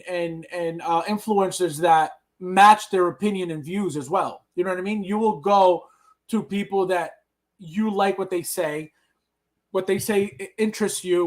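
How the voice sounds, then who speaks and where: High-voiced Colombian-born podcast host. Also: male voice. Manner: rambling, acts out imagined remarks from others. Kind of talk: casual podcast chat.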